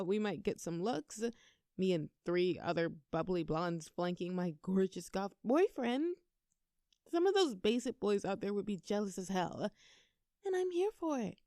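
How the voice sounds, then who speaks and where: Casting: young woman acting as a doting, teasing girlfriend for intimate audio roleplay; mellow tone, very dry close sound. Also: mid-range voice, no echo, natural voice. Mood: playful, teasing. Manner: playful, coaxing, talking tenderly to a partner.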